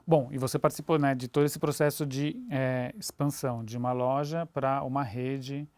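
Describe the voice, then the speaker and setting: A male interviewer asks long, winding questions, pausing on fillers as he searches for words. His voice sits at a middle pitch, and the recording is dry and close. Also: fairly even intonation, a little mellow, no room sound. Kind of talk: conversational interview.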